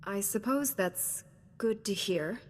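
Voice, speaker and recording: An actress playing a composed, kindly female space knight in a video game, measured and gently dry in manner. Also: mid-range voice, big-room sound, a little hiss or hum, lightly acted. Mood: tactful, measured. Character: composed, kindly female Jedi, dryly tactful leader. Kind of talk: scripted video game acting.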